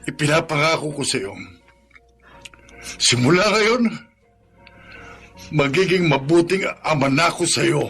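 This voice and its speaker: An actor playing a gruff, middle-aged farmer father with a gravelly voice in a radio drama. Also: on the high side, animated, unhurried, pauses often, a bit bright, soft background music. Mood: subdued.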